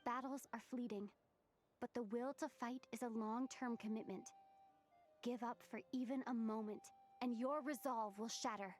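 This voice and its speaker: An actress playing an earnest, determined young heroic traveler in a video game, speaking in a measured way. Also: female voice, on the high side, steady tone, faint music behind, natural voice.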